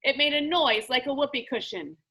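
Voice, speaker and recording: A woman reading a children's book aloud as an expressive storyteller. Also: sits high, fairly quick. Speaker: story reader.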